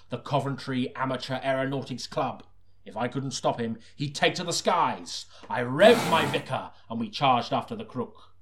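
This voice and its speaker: A man's voice: medium pitch, background noise, lightly acted. Man acting a dramatic hard-boiled detective narrator. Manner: earnest, dramatic storytelling. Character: deadpan melodramatic hard-boiled detective narrator, secretly a sinister killer. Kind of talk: scripted audiobook narration of a detective story.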